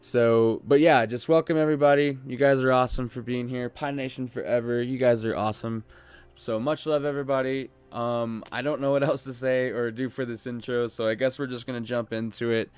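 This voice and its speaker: A man's voice: mid-range voice, fairly even intonation, fairly quick, a little mellow, smooth. A man hosting a livestream, talking informally and a bit ramblingly while thanking his viewers. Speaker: live streamer, host. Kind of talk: casual livestream chat intro.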